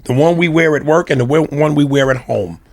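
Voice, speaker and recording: A man hosting a casual podcast, talking in an animated, profane and emphatic way. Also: fairly high pitch, fairly quick. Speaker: podcast host. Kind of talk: casual comedic chat.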